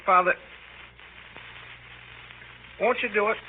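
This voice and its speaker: Casting: overwrought seventeen-year-old boy, high-pitched, indignant, 1930s radio play, thin phone-like sound. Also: steady tone, slow pace, slight background noise. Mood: desperate pleading. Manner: pleading, stiffly dignified, overwrought. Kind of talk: 1930s radio drama acting. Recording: tinny, narrow old 1930s radio recording.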